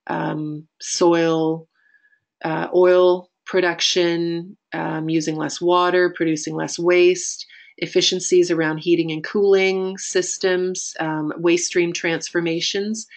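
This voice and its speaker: Woman, Canadian accent, raspy, gravelly, flat delivery, webinar presenter. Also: on the low side, slow pace. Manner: steady, informal, many ums. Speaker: executive director presenting a webinar.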